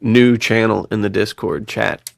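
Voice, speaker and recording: A man's voice: lowish voice, steady tone, unhurried, vocal fry, no echo. A man chatting casually as a podcast co-host, enthusiastic and full of bro slang. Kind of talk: casual chat.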